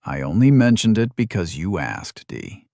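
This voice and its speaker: A man plays a youthful fantasy audiobook hero. He is casual and frank yet polite, with lightly teasing phrasing and a very animated pitch. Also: normal speed, creaky, no echo, clean studio sound.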